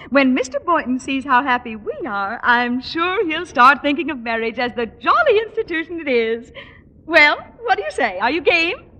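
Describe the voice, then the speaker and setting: An actress playing a chirpy, bossy middle-aged wife in 1950s radio comedy, gushing and fluttery, on an old recording. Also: female voice, sits high, sing-song, normal speed, bright, forceful tone, muffled recording, heavily acted. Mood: brisk, coaxing.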